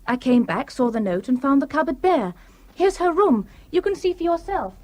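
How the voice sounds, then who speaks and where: An actress playing a breezy, candid young British flatmate in a radio drama, brisk and a little defensive. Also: female voice, sits high.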